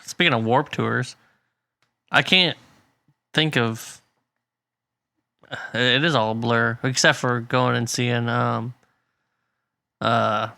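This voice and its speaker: Male podcast co-host, mid-pitched and bright, joking and easygoing, dry sound. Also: fairly even intonation, takes it slowly, creaky, long pauses, dry recording. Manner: joking, easygoing, trails off searching for names. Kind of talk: casual chat.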